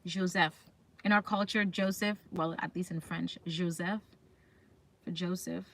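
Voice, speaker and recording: A woman's voice: on the low side, fairly even intonation, a little slow, fairly bright tone. A woman chatting casually as a livestream host, talking straight to viewers and often putting questions to them. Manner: chatty, reads comments aloud and puts questions to viewers. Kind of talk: casual live chat.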